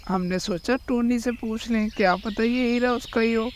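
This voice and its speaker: A man's voice: extremely high pitch, steady tone, moderate pace, a little hiss or hum. A man playing a boastful, sly crow who farms in a children's cartoon, with a mocking manner. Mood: sly, taunting. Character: boastful, sly male crow, a rich farmer. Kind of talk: Hindi cartoon dubbing.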